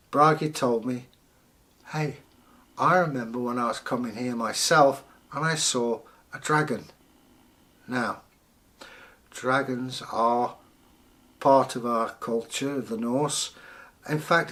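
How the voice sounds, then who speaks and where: A man in the persona of a Viking storyteller, telling folktales in short phrases with theatrical pauses. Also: mid-range voice, a bit bright. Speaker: channel host and storyteller.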